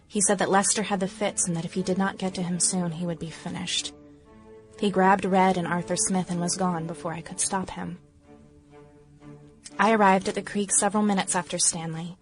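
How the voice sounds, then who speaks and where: A woman's voice: fairly low pitch, lightly acted, low-quality recording. A woman playing a grieving small-town woman of the 1910s, solemnly reading her diary aloud for a radio play.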